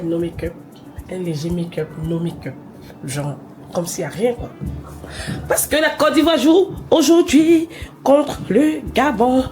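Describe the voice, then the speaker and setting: A young woman, talking casually to her phone camera while she has a cold, slipping English words into her chat. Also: a little high. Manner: chatty, animated, mixing in English slang. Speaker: vlogger.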